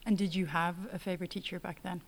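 Woman hosting, measured. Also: on the low side, steady tone, slight background noise. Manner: measured, polished introductions, casual follow-up questions. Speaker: podcast host, talks coordinator. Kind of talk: scripted podcast intro and interview questions.

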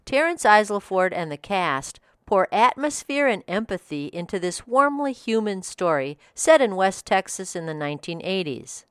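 A woman's voice: lowish voice, smooth, no room sound, studio quality. A woman reading a scripted review as a podcast host.

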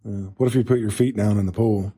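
Mellow voiced host, chatty and encouraging, casual interview style. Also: medium pitch, fairly even intonation, fast, breathy edge.

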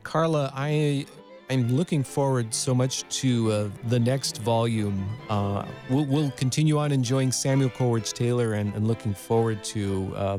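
A man conducting a conversational radio interview as host, phrasing things in a measured, courteous way. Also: mid-range voice, darkish tone. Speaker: radio program director and interviewer.

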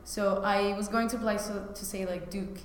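A woman's voice: medium pitch, steady tone, moderate pace. A young woman from Venezuela, a guest in an interview, chatty, often laughing and saying "like". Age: young adult. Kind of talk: casual interview. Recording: echoey hall with faint noise.

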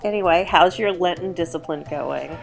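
A woman co-hosting a podcast in casual chat, raspy and half losing her voice from a cold, laughing often. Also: fairly low pitch, moderate pace, soft background music. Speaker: podcast co-host.

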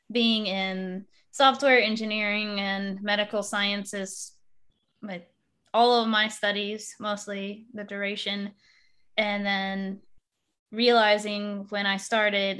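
A woman's voice: mid-range voice, bright, forceful tone, clear, resonant tone. A young adult woman hosting a podcast interview, talking eagerly in long, rambling sentences with restarts. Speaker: podcast host.

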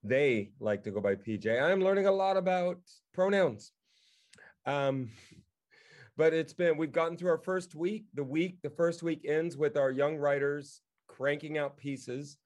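A man chatting casually in a halting, wry way, as if thinking aloud while he talks. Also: a little high, darkish tone. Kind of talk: casual chat. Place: remote video call.

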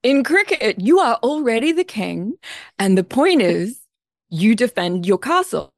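A middle-aged woman with an Australian accent talks as a podcast guest, animated and emphatic, often breaking into laughter. Her voice sits at an everyday pitch and comes across dry and close. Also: unhurried, no room sound. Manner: lively, laughing, emphatic explainer. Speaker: guest composer. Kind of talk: casual interview chat.

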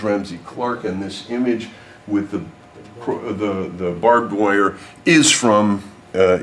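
A man's voice: fairly low pitch, a little hiss or hum. Man reading a formal speech. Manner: reads formal text with off-script asides. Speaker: lecturer. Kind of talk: prepared speech read to an audience.

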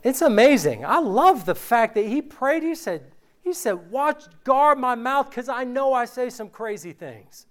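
A man preaching an informal sermon, reading scripture aloud slowly and conversationally, his voice worn from recent illness. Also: very high-pitched, big pitch swings. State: recovering from illness, voice going.

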